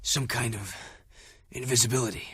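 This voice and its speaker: An actor playing a serious young action hero in a video game, low-key and terse. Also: male voice, moderate pace, heavy background noise, natural voice. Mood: calm.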